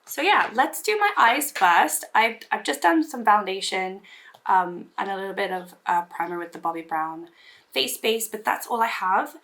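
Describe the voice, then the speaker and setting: Woman, hoarse and gravelly from recent voice loss, casual rambling beauty vlogger. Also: medium pitch, lively intonation, normal speed, a bit bright. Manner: rambling, self-deprecating, many fillers and restarts. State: recovering from lost voice, hoarse. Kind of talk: casual get-ready-with-me chat.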